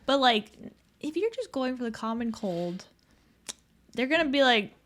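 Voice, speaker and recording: A woman's voice: on the high side, sing-song, normal speed, a bit bright. A young adult woman co-hosting a podcast, agreeable and chatty, often laughing along. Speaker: podcast co-host. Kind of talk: casual chat.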